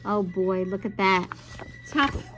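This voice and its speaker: A woman's voice: mid-range voice, slow, fairly bright tone, soft background music, rough recording. A woman teacher reading a children's picture book aloud expressively, with chatty asides to young listeners.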